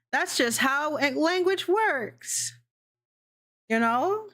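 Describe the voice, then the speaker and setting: A woman's voice: high-pitched, animated, drawn-out words, fairly bright tone. A young adult woman hosting a casual livestream, chatting in a rambling way, swearing freely and laughing often. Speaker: VTuber streamer hosting a worldbuilding session.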